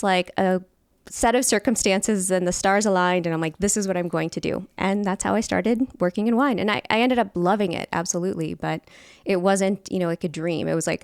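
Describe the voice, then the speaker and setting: A woman's voice: mid-range voice, clean tone, no echo, clean studio sound. A woman, a guest telling her story in a candid, chatty way. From California, USA. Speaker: guest, fermentation specialist. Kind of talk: casual interview chat.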